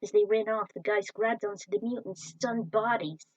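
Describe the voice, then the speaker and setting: A woman's voice: medium pitch, normal speed, no room sound. A woman reading an adventure story aloud as an amateur narrator, on a lo-fi home recording. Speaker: podfic narrator. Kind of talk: amateur storytelling read from a script.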